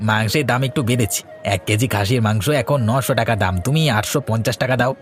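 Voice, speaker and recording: A man's voice: medium pitch, fast talker, a little hiss or hum, close to an everyday voice. A man playing an earnest, humble working villager in a cartoon. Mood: earnest, reassuring. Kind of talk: Bengali cartoon dialogue. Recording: light music behind the voice.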